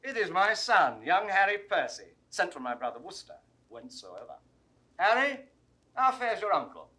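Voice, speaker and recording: A proud, husky British lord in classical Shakespearean style. His delivery is forceful and declamatory, and his pitch swings widely as he presses each phrase. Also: very animated, moderate pace, full character voice. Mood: brisk, questioning. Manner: declamatory, emphatic. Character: blustering seasoned English nobleman, a proud father. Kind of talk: classical Shakespearean stage acting. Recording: 1960s studio audio drama recording.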